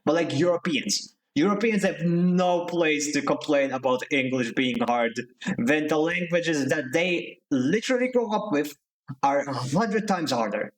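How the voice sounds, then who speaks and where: A man's voice: fairly high pitch, lively intonation, a little slow, rough recording. A young man from Slovakia, ranting casually as a streamer, swearing and restarting his sentences. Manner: heated ranting with profanity and restarts. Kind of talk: casual live-stream commentary.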